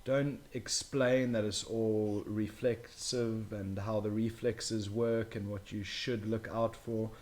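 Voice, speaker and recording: A man's voice: on the low side, fairly even intonation, takes it slowly, few pauses, a little mellow. Young man chatting. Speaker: interview guest, new father. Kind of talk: casual conversational talk.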